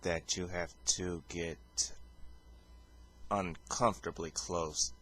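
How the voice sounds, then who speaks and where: A man's voice: low-pitched, steady tone, slow pace, a bit bright. A man giving casual fighting-game commentary, rambling and hesitant, with many fillers and restarts. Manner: calls his own voice boring. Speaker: gameplay commentator.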